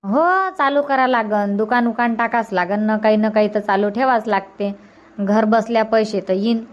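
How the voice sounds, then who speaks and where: A woman's voice: fairly high pitch, moderate pace, close to an everyday voice. A woman voicing a homely, practical older village mother in a comedy cartoon, plain-spoken and polite, with a Varhadi Marathi accent. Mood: matter-of-fact. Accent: Varhadi Marathi from Vidarbha.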